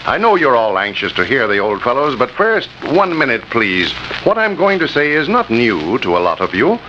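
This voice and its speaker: A dull, noisy vintage radio recording of a male announcer. His delivery is lively and melodic, folksy yet measured, as he persuades in the scripted style of a 1930s sponsor spot. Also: mid-range voice.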